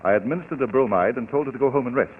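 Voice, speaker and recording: An actor playing an earnest, somewhat flustered doctor in a 1940s radio drama, stammering at times. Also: male voice, mid-range voice, racing, natural voice. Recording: old radio broadcast, tinny and hissy.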